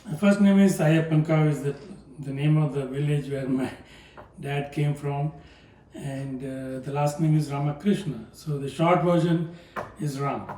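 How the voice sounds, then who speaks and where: An older man from South India calmly demonstrating how he makes coffee, soft-spoken and hesitant, with frequent fillers. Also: a little high, unhurried. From Palakkad, South India. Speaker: guest, home cook. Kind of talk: casual demonstration chat. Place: home kitchen. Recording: echoey room from a distance, faint noise.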